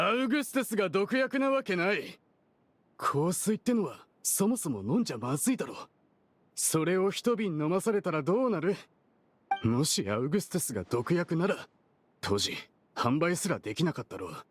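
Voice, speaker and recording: An actor playing a brash, shifty perfume merchant in an anime game dub, talking in a rough, argumentative, casual way. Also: male voice, high voice, big pitch swings, normal speed, no room sound. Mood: indignant. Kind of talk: Japanese anime game dubbing.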